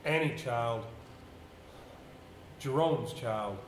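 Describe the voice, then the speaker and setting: A man on stage playing a grave local man who solemnly and haltingly recounts a village haunting. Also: mid-range voice. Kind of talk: stage play dialogue. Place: indoor theatre stage. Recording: echoey amateur stage, faint noise.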